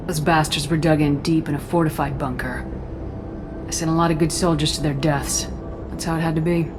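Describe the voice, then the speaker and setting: A woman's voice: deep voice, fairly even intonation, fairly quick, lightly acted. A woman playing a hardened, blunt space military commander in a video game, firm and unsentimental. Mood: grim resolve. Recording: loud background music. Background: game music.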